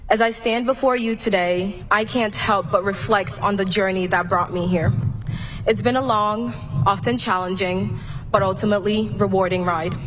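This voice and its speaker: A young woman from Cameroon delivers her graduation speech as class president, reading it in an earnest, measured way. Her voice sits at a medium pitch, and her delivery stays formal and steady. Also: noisy background. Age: young adult. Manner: earnest, measured, read from a prepared text. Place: large graduation venue.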